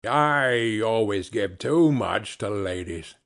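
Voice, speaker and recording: A man voicing a shrewd old male pawn dealer who haggles slowly. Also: lowish voice, animated, gravelly, fairly bright tone, full character voice. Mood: haggling.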